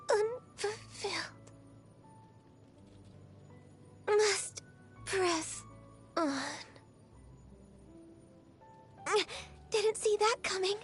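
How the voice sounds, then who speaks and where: Gentle, high-voiced young game heroine. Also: female voice, full character voice. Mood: urgent, determined. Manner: polite, earnest, measured. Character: gentle, dutiful young woman adventurer in a fantasy game. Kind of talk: video game character voice lines. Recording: game audio with music underneath. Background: background music.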